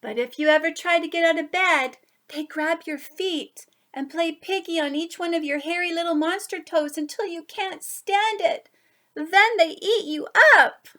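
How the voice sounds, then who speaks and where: A woman reading a picture book aloud to young children, expressive, with drawn-out emphasis on key words. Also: extremely high pitch. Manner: animated, drawn-out emphasis, asides to listeners. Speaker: children's story reader.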